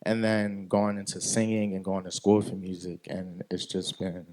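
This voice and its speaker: Guest, man, early 20s, low voice, easygoing chatter. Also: normal speed. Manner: relaxed, says "like" a lot, laughs. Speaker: guest singer. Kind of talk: casual interview answers.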